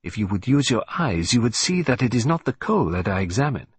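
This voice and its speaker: A man's voice: mid-range voice, very animated, brisk, husky. A man voicing a fussy, vain middle-aged male detective who speaks in a precise, theatrical, self-assured way. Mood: composed.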